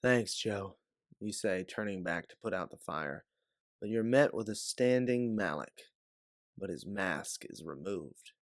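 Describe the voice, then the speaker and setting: A man reading scripted second-person story narration as an audiobook narrator, in a dramatic, deliberate way. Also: on the low side, no room sound, clean studio sound.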